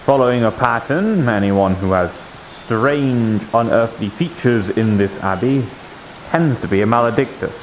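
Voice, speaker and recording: A man playing a shrewd, probing member of an abbey in improvised roleplay, speaking in a measured, insinuating, formal way. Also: medium pitch, close to an everyday voice, poor audio. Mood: coolly threatening. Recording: hissy live stream microphone.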